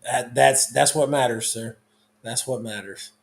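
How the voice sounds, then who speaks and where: Hoarse young male host, casual football banter, restarts often, mid-range pitch. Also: fairly even intonation, measured pace. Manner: loose, conversational, with many restarts. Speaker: sports talk show host. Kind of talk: casual sports talk.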